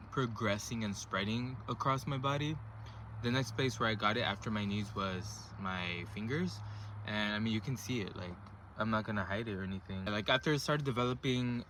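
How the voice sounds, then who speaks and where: A man's voice: lowish voice, slight background noise, rough recording. A man in his twenties casually telling personal stories to camera on his vlog, rambling with lots of fillers. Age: 20s. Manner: relaxed, rambling, filler-heavy talk. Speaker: vlogger.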